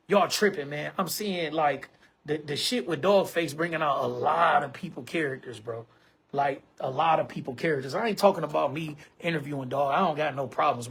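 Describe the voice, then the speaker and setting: Husky high-voiced man in his forties. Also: a bit bright. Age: 40s. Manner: emphatic, repetitive, keeps saying bro and man. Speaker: online show host. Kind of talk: casual monologue to camera.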